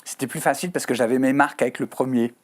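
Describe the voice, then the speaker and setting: A man's voice: medium pitch, fairly even intonation, very fast. A Swiss French man, a writer as a guest on a TV studio interview, speaking thoughtfully with frequent hesitations and fillers. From Switzerland. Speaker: author guest.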